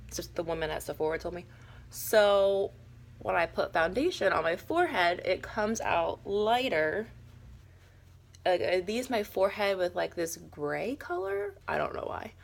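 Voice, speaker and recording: Raspy young woman getting over a cold. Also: on the low side, a bit bright. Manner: chatty, rambling, a little nervous. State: hoarse from a cold. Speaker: beauty vlogger. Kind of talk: casual makeup tutorial chat.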